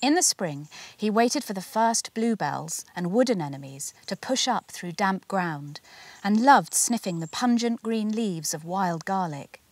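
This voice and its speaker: A woman narrating a children's picture book as its author, in an expressive, measured storytelling manner. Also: mid-range voice, dry recording. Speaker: author reading their own picture book.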